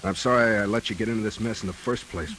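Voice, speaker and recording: Actor as bright-voiced hard-boiled 1940s bank robber. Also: male voice, lowish voice, fast talker, natural voice. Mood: earnest, brooding. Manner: terse, slangy tough-guy talk. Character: hard-boiled, world-weary bank robber with a streak of decency. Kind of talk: 1940s radio noir acting. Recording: hissy 1940s radio recording, rain behind.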